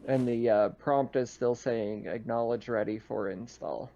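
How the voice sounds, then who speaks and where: A man with a mid-pitched voice acts as game master for a tabletop roleplaying session. He narrates casually, making it up as he goes in halting phrases. The recording is a little dull. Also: fairly even intonation, vocal fry, mellow, a little hiss or hum.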